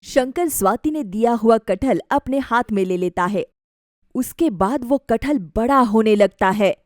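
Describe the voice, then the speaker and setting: A woman narrator reading a scripted animated moral story. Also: on the high side, measured pace, no room sound, clean studio sound.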